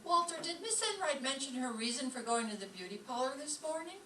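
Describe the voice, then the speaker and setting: A sharp-tongued woman schoolteacher, acted by a woman tosses off dry, sarcastic lines in old-time radio comedy style. Her voice is bright and a bit high. It is picked up from afar in an echoey room. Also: expressive, a little fast. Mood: jealous, sardonic. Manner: dry, sarcastic delivery of quips. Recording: distant roomy stage pickup, faint noise.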